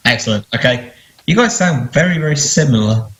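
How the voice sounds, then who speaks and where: A man's voice: mid-range voice, expressive, brisk, fairly bright tone. A man with a British English accent chatting casually and jokingly as a podcast guest. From United Kingdom. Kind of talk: casual chat.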